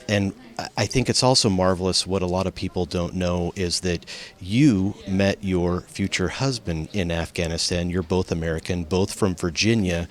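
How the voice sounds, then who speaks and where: A man hosting an interview, enthusiastic and complimentary, sometimes tripping over his words. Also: deep voice. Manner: enthusiastic, complimentary, with some slips. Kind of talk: interview host.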